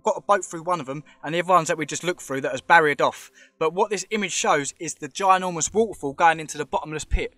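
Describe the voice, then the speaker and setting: A man's voice: a little high, bright, forceful tone, no echo. A man giving rambling, speculative commentary while filming with a handheld camera in an underground cave. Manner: chatty, rambling, speculative, points things out. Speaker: vlogger exploring a show cave.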